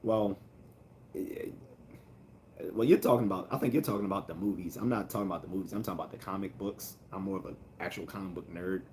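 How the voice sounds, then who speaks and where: A man hosting a casual livestream, answering viewer comments in a calm, rambling way with many fillers and restarts. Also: low-pitched, fast talker, slight background noise. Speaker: livestream host.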